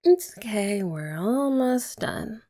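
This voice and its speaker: A young woman in an ASMR girlfriend roleplay, talking softly and teasingly to the listener. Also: a little high, animated, takes it slowly. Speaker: ASMR creator.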